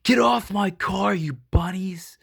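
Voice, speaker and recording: A man's voice: high-pitched, slow, vocal fry, a bit bright, husky, pitched above the performer's own voice, heavily acted. A man voicing a big, tough storybook dog who barks bossy, indignant orders with emphatic delivery. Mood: exasperated, bossy.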